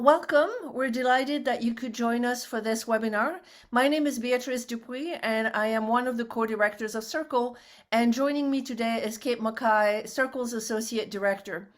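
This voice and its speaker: A woman opens an academic webinar over a video call, reading announcements in a measured, formal way. Her voice sits somewhat high. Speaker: webinar host. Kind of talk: formal introduction.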